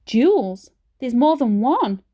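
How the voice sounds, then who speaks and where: A woman's voice: fairly high pitch, sing-song, slow, mellow, pitched above the performer's own voice, heavily acted. A woman voicing an eager, adventurous young grandson in a storybook, keen and insistent. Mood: excited, pleading.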